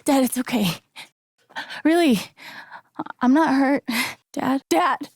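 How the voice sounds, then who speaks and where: An earnest, determined young woman in a dramatic video game. She speaks slowly and hesitantly, with plenty of creak in a heartfelt, emotionally exposed delivery. The sound is close and dry. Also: high voice, a little mellow, no room sound. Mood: anxious, pleading.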